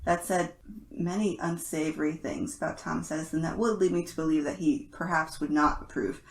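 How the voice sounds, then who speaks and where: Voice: mid-range voice, fairly even intonation, a little fast. A podcast co-host chatting in a casual, joking fan style.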